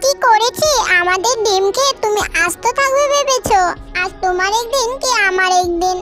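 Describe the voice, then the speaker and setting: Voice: extremely high pitch, normal speed. A performer voicing a feisty little female bird who scolds and bosses in a children's cartoon. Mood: scolding. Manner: bossy, threatening. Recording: loud children's music behind voice.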